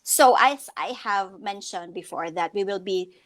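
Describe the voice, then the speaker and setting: This is an upbeat woman from the Philippines co-hosting a relaxed online chat show. She talks in a chatty, friendly way, full of little fillers and restarts. Her pitch sits in an ordinary middle range. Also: a little slow. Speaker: co-host. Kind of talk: casual live-stream chat.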